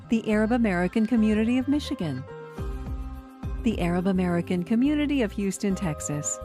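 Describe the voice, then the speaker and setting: A woman at an ordinary pitch reads out the program's funding credits. Her delivery is formal and even, and music plays clearly behind her. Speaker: funding announcer. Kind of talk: scripted sponsor credits.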